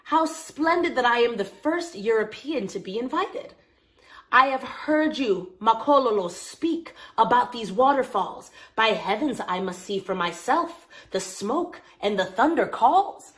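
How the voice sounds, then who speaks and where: Woman playing a pompous, delighted Victorian gentleman explorer. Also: on the high side, expressive, measured pace. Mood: delighted, grand. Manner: exclamatory, formal, old-fashioned.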